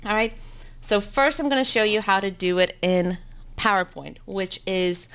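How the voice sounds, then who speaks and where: A woman in her thirties with a South African accent, Afrikaans her first language, teaches blogging in a live webinar from her home. Her voice sits at a mid pitch, and she talks in a chatty, upbeat way, filling pauses with frequent ums. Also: a bit bright, clear, resonant tone.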